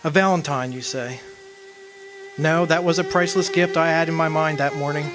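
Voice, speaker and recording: A man acting in a radio drama as a wistful, earnest man devoted to his lost young love, speaking in deliberate phrases. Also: on the high side, steady tone, music in the background, lightly acted. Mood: wistful, grieving.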